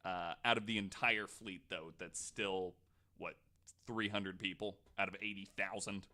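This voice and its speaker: A man's voice: low-pitched, steady tone, a little slow, sharp tone. A man hosting a podcast, telling a researched story in a casual, jokey, informal way. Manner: informal, swears, jokey asides.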